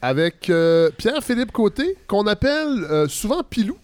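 A man with a Québécois accent hosting a casual podcast interview, quick to joke and chime in. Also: high voice, sing-song, a little mellow. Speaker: podcast host.

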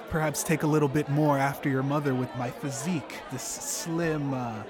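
A man's voice: fairly high pitch, fairly even intonation, normal speed, over music, a crowd in the background, natural voice. An actor playing a smug, vain male rival who needles others in an improvised audio comedy. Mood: smug, taunting.

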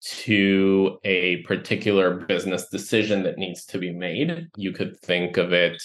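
Low-voiced man from Ecuador, explaining. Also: measured pace. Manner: explanatory, lists items, some restarts. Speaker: guest, company co-founder and CTO. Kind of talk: podcast interview answers.

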